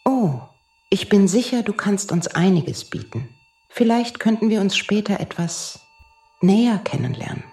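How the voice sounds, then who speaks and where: A woman playing a flirtatious, sharp-tongued space traveller in an audio drama, teasing and suggestive in manner. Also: medium pitch, big pitch swings, measured pace, soft background music. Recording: roomy echo.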